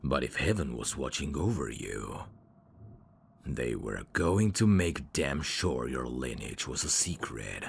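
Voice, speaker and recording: A man voicing a sly demon servant in an ASMR audio drama, courtly and theatrical with irreverent, sardonic asides and a very deep, gravelly voice. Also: big pitch swings, slow, slight background noise, exaggerated voice. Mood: explaining, dry.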